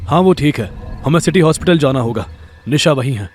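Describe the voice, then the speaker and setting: A man's voice: fairly high pitch, lively intonation, fairly quick, a little hiss or hum, close to an everyday voice. An actor playing a stern, guarded but protective young man in an audio drama, speaking in terse, commanding phrases. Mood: composed, terse. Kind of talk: Hindi horror audio drama acting.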